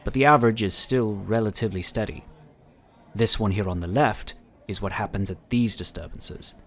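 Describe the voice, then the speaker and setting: A man acts out a calm inquisitor of a psionic order for a sci-fi audio drama. He has a fairly low voice and speaks formally and courteously, laying things out with measured care. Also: slight background noise, lightly acted.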